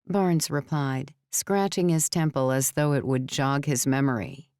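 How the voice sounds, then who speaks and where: Very low, smooth-voiced woman narrator. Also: measured pace, a little mellow, no echo, clean studio sound. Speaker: audiobook narrator. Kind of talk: scripted novel narration.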